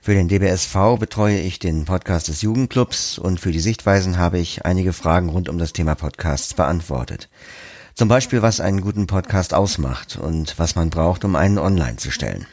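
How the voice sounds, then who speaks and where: Deep, creaky-voiced man, closely recorded. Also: lively intonation, normal speed, darkish tone, no echo. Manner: structured, explains with examples. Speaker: podcast producer, interview guest. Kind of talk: explanatory interview talk.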